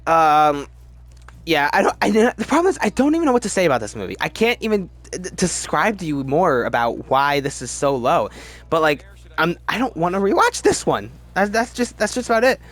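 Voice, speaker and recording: Animated man ranking movies casually. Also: fairly high pitch. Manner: animated, rambling, halting with repeated words. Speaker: host of a movie ranking video. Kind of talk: casual opinionated commentary. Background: background music.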